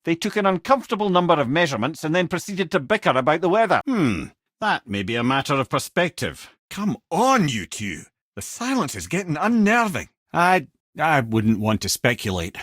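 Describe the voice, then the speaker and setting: A man's voice: fairly high pitch, sing-song, moderate pace, a bit bright, no echo, clean studio sound. An actor voicing a witty, learned old male sage and storyteller in a video game, with a Scottish accent and a wry, erudite way of talking. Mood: wry, teasing, then urgent, animated.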